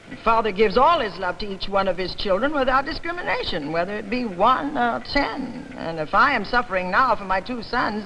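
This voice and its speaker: A woman's voice: a little high, a bit bright, voice pushed up. Woman acting a cross father, argumentative, emphatic, insistent, staged story reading, slight noise. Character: argumentative, cross fathers among train passengers. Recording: noisy old archival reading recording.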